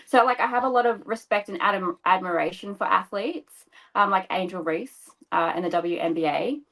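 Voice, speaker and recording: A woman's voice: mid-range voice, moderate pace, creaky, a bit bright, poor audio. A woman in her thirties, a podcast guest chatting casually over a video call, laughing often and explaining things patiently. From Australia. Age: 30s. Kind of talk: casual interview chat.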